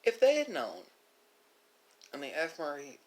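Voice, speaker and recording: Man musing with very lively, swooping intonation. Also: a little high, measured pace, poor audio. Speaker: video creator. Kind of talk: reflective monologue.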